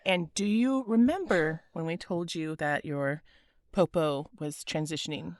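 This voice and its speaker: A woman hosting a podcast, speaking earnestly and gently with a raspy, hoarse voice. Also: low voice, measured pace, no echo, studio quality. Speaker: podcast host. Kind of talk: scripted podcast intro and interview.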